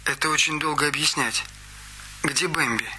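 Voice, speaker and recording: A man's voice: a little high, normal speed, heavy background noise, natural voice. An actor playing an anxious young man on the run from killers, asking urgent, worried questions in a radio play.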